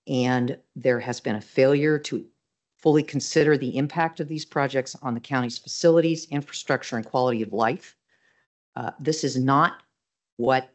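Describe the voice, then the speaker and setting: A man with a mid-range voice reads a prepared statement into a podium microphone. He is measured but indignant. His voice carries through a county meeting room. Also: medium pitch. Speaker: public commenter. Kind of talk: reading a prepared statement at a hearing.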